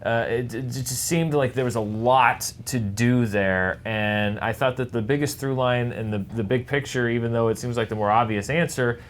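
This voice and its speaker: A man's voice: medium pitch, normal speed, few pauses, a little hiss or hum. A man giving casual commentary as a judge on a debate show, thinking it through hesitantly as he talks.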